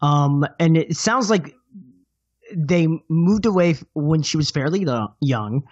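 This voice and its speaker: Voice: mid-range voice, animated, unhurried, a little mellow, husky, no room sound, lo-fi sound. A podcast panelist speaking hesitantly, stumbling and checking facts with the others. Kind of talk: casual film discussion.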